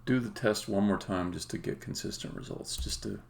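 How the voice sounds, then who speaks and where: A man with a low, heavily fried voice talks to himself while coding on a live stream. His delivery is casual and halting, muttered as he thinks aloud. Keyboard typing and clicks sit behind him with faint noise. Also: creaky. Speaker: game developer streaming. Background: computer keyboard typing and clicking.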